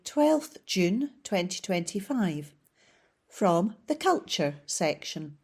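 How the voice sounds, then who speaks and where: Female volunteer reader, slow steady delivery, mid-pitched, newspaper articles. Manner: steady, measured reading. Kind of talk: reading newspaper articles aloud.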